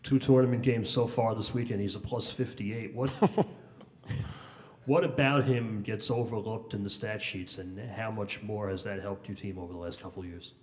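A man sports reporter puts a measured question to the coach, leading with statistics. His voice sits low and is heard from a distance. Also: fairly even intonation.

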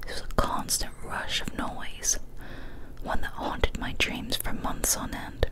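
A young woman in a breathy, unvoiced whisper reads a horror story in ASMR style, hushed and deliberate, close to the microphone. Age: young adult. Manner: hushed, deliberate, short phrases with pauses. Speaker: ASMR storyteller, channel host. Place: small indoor room, decorated home studio. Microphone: large studio microphone, very close.

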